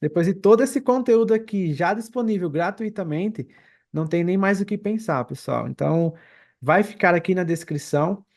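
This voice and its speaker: A man's voice: high voice, animated, normal speed, darkish tone. A Brazilian man speaking casually as the co-host of a podcast.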